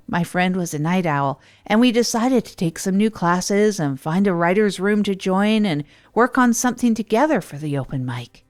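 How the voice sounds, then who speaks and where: A woman's voice: mid-range voice, darkish tone, natural voice. Woman acting a rambling, self-deprecating radio host. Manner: rambling, hesitant, confessional. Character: self-deprecating failed-entrepreneur radio host Tog, trying stand-up comedy. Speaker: host of an advice radio show. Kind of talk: improvised comedy monologue in an audio drama.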